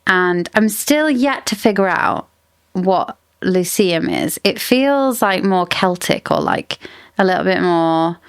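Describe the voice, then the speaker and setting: A woman hosts a casual book podcast, musing out loud and circling back with "like" and "I don't know" as she works out her ideas. Her voice sits a bit low, and the recording is close and dry. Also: expressive, slow, clear, resonant tone, no room sound. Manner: thinks aloud, rambling, lots of "like" and hedging.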